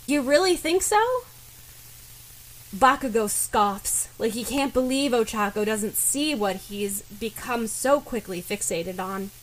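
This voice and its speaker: A woman's voice: medium pitch, unhurried, hardly any pauses, fairly bright tone, poor audio. Woman narrating a story. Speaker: fan fiction podfic reader.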